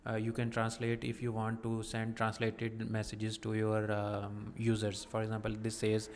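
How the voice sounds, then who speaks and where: A man explaining a software setting step by step in a halting, methodical way, pausing often as he walks through a screen recording. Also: lowish voice, monotone, long reverb tail, a little hiss or hum. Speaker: software tutorial presenter.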